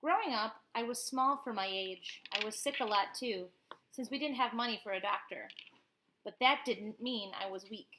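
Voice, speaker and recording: Bright, higher-pitched woman reading to children. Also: moderate pace, a little hiss or hum, low-quality recording. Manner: expressive, explanatory, addresses children directly. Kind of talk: animated picture-book read-aloud with informal asides.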